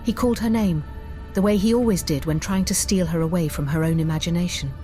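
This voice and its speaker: A woman storyteller reading scripted fantasy narration in a measured, theatrical way. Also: on the low side, warm tone. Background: music bed.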